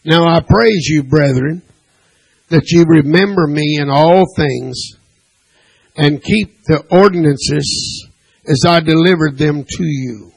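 A man preaching to his congregation in a church sanctuary, speaking slowly and deliberately with a gravelly voice that turns husky. He punctuates his points with "amen" and puts questions to the listeners. He also reads scripture aloud in old-fashioned wording. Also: fairly high pitch, rough recording. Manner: preaching with frequent "amen" and call-and-response questions. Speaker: pastor. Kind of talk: sermon.

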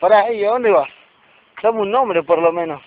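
A man's voice: high voice, normal speed, close to an everyday voice. An amateur man actor playing a suspicious man seeking the truth about his brother, with an Argentine accent, in a radio play. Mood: insistent. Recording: noisy, muffled amateur radio drama recording.

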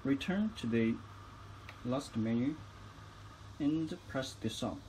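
A man's voice: mid-range voice, expressive, slow pace, darkish tone, slight background noise, poor audio. A man giving instructional tutorial narration as a product demonstrator, phrasing each step carefully.

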